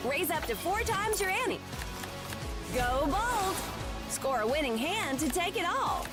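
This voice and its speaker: A brash Wild West poker dealer in a game trailer, teasing and full of swagger. Her voice sits high and swoops wildly up and down. Loud backing music plays under her lines. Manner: hyped, teasing trailer delivery.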